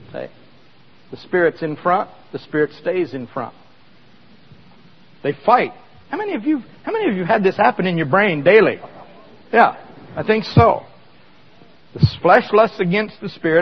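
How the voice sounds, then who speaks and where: A fairly high-voiced man preaching in a church hall, talking to the congregation like a conversation and tossing questions at them. His voice rings with a long hall echo, and the recording sounds slightly dull and muffled. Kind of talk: sermon with live demonstration.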